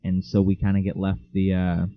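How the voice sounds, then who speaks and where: Teen male co-host, low voice, loose rambling chat. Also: completely flat delivery, brisk, no echo. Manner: rambling, lots of "like" fillers. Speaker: podcast co-host. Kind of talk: casual chat. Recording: dull lo-fi mic.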